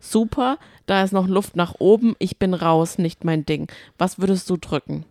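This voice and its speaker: A woman's voice: on the low side, moderate pace, a little mellow, no room sound, clean studio sound. A woman co-hosting a podcast, talking casually about reality TV shows. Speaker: podcast co-host.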